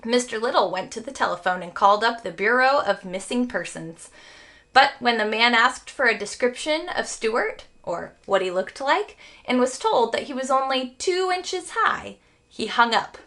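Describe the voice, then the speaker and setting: A woman's voice: on the high side, normal speed. A woman teacher reading a children's story aloud expressively, as if to young students. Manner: expressive, explains phrases to young listeners.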